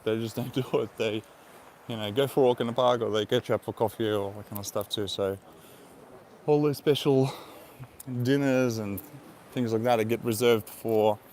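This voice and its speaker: A man's voice: lowish voice, a little mellow, slight background noise. A man from Eastern Europe with an Eastern European accent in English, chatting casually on a live stream, rambling with many fillers and frequent laughs. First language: Russian. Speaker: vlogger.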